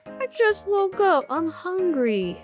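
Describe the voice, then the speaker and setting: A woman's voice: extremely high pitch, sing-song, takes it slowly, faint music behind, full character voice. A woman playing a grumpy, sleepy, dry-humored young female streamer in comedic dialogue. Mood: dry, petulant.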